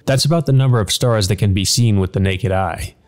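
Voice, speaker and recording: A man plays a cynical shadowy demon servant from a visual novel. The voice is fairly low, flippant and taunting, with music behind it. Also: brisk, darkish tone, lightly acted. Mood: smug musing.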